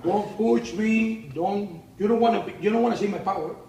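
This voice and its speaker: A man's voice: high-pitched, normal speed, creaky, darkish tone, roomy sound, distant mic, slight background noise. A man from Cuba with a Cuban Spanish accent and broken English, making threats and repeating himself. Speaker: home seller.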